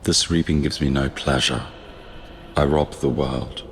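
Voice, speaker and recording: An actor playing a solemn, gentle god of death in an audio drama, speaking in grave, courtly phrases. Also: male voice, rumbling low, steady tone, unhurried.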